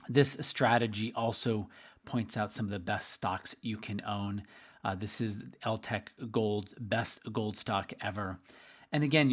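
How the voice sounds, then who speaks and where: A middle-aged man with a slightly hoarse, tired voice, hosting a live webinar in an upbeat, chatty way and talking straight to his listeners. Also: lowish voice. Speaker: investment trainer hosting a webinar.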